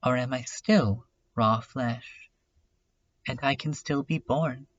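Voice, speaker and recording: A man playing a brooding, self-critical dreamer in a contemplative, halting monologue for a webcomic fan dub. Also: mid-range voice, no room sound, muffled recording. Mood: wistful, wounded.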